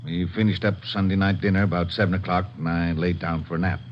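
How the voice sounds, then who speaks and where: A man's voice: low-pitched, steady tone, quick pace, natural voice. A man playing a grouchy, guarded nursery owner in a vintage radio drama, curt and uncooperative. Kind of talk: 1940s-50s radio drama acting. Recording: muffled, noisy old radio recording.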